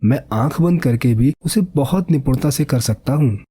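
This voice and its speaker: Man acting as earnest young cartoon painter, poor devoted husband, mellow husky voice, sincere, proud. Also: medium pitch, animated, normal speed. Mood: proud, firm.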